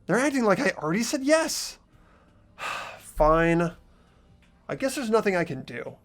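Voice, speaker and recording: Casting: man as hesitant teenage boy for a game, animated intonation, mild and questioning, faint music behind. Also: high-pitched, a little slow, heavily acted. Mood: reluctant. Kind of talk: voiced visual novel game playthrough.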